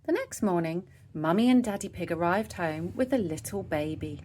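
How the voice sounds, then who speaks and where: A woman reading a children's story aloud, with expressive narration phrased for young listeners. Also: on the low side, normal speed, a little hiss or hum. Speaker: storybook reader.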